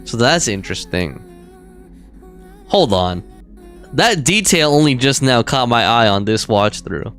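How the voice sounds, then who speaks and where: Lively, rambling male game streamer. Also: medium pitch, measured pace, long pauses, faint music behind. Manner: rambling, slangy, thinking aloud. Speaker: game livestreamer. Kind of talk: casual livestream chat and game commentary.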